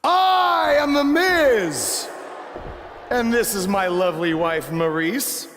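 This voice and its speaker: An actor playing a wrestler who mockingly imitates a vain star, pompous and theatrical, in a video game. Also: male voice, high-pitched, big pitch swings, slow, vocal fry, fairly bright tone, big-room sound, slight background noise, full character voice. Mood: mocking, bombastic. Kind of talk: video game parody promo.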